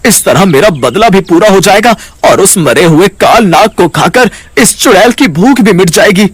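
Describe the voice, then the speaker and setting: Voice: mid-range voice, lively intonation, moderate pace, breathy edge, dry recording. A performer voicing a small, sad male cartoon parrot, meek and grieving, with plaintive, respectful pleading. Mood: scheming hope. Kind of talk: Hindi cartoon character voice.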